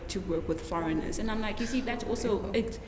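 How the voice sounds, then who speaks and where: A young woman speaking animatedly on a discussion panel, telling her own experiences in a casual, expressive way. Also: medium pitch, brisk, over a noisy crowd. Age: young adult. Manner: lively, acts out other people's voices. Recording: echoey room with long reverb and hiss.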